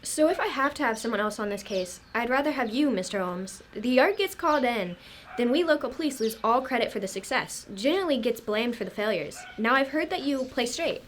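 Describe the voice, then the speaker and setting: A woman's voice: fairly high pitch, lively intonation, fairly quick, fairly bright tone. A woman playing a chatty, deferential local police sergeant in an amateur radio drama, with a folksy manner. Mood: candid. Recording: clear background noise.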